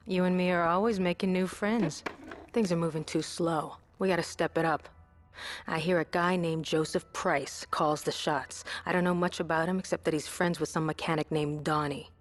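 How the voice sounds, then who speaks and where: A woman's voice: lowish voice, natural voice. A woman playing a tough, brash street racer in a video game, assertive and wry. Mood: scheming, brisk. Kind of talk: video game cutscene acting.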